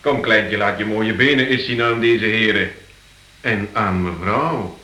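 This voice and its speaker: An actor playing a cocky, impatient young robber on the run in a radio play, brash and mocking. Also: male voice, on the low side, a little slow, pushed and bright, roomy sound, low-quality recording. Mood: cocky, threatening. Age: young adult.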